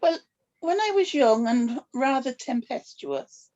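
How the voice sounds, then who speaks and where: A woman playing an older grandmother, gentle but firm and secretive, in a scripted table read. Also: high voice, animated, slow, dull audio. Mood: firm, guarded.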